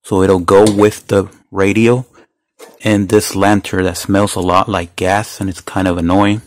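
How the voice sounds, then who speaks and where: A man's voice: sits low, flat delivery, measured pace, dry recording, studio quality. A man casually narrating a gadget demonstration as a reviewer, unhurriedly explaining each step aloud. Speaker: product reviewer. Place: indoors at home. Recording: faint background noise.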